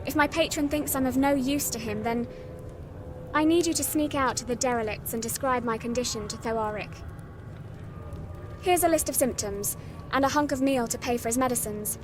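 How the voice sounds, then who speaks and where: A woman's voice: high voice, normal speed, music in the background, lightly acted. A woman playing a frightened singer in servitude, anxious and pleading, in a video game cutscene.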